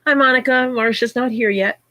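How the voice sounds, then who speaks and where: A woman's voice: fairly high pitch, fairly quick, bright, forceful tone, dry recording. A woman with a scratchy voice from illness, hosting a casual livestream chat and greeting viewers by name as she talks. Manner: chatty, greets viewers by name mid-story. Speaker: livestream host.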